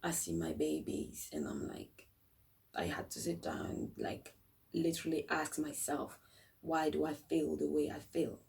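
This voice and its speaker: A woman with a hoarse, croaky voice talks slowly and thoughtfully about her own life, sometimes sinking to a near whisper. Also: low voice, big pitch swings, recorded from a distance. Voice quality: croaky, gravelly voice. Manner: slow, thoughtful, often drops to a soft whisper. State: hoarse after long studio hours. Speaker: vlogger sharing a personal story. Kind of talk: reflective personal talk to camera.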